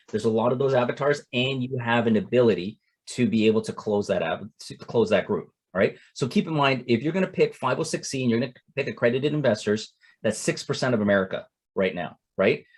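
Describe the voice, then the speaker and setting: This is a middle-aged man teaching a seminar, with a somewhat husky, breathy voice at a mid pitch. He talks in a loose, conversational way, restarting phrases and checking in with "right?" as he goes. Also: animated, fairly quick. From Canada. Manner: conversational, repeats words and restarts, tags "right?". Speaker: investment coach. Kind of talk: seminar talk.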